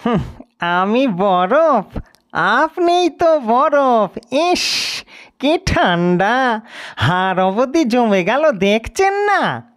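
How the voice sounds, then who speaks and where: A man's voice: very high voice, big pitch swings, very slow, pitched above the performer's own voice, exaggerated voice. A man voicing an indignant, petty carriage passenger who retorts and bickers in an audio story. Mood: bickering.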